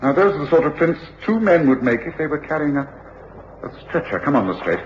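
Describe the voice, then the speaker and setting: An English actor playing a commanding, coolly reasoning detective with clipped, authoritative delivery in an old British radio drama. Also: male voice, a little high, big pitch swings, fairly quick. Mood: urgent, commanding. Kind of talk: 1950s-60s British radio drama acting. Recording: echoey, dull, noisy old radio recording.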